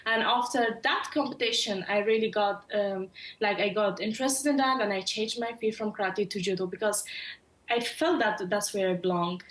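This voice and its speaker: A woman's voice: medium pitch, normal speed, a bit bright, roomy sound, low-quality recording. A young woman from Afghanistan who grew up in Pakistan, answering interview questions earnestly, with many fillers and restarts. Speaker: podcast guest, Olympic judoka.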